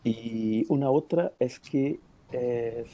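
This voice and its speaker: A man's voice: medium pitch, slow pace, warm tone. A man from Brazil with a Brazilian Portuguese accent, speaking Spanish as a second language, commenting hesitantly in an academic discussion. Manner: hesitant, searching for words, many fillers.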